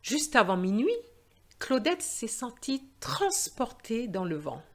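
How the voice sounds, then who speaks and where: A woman narrating a scripted story for language learners in a deliberate, carefully phrased and expressive way. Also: fairly high pitch.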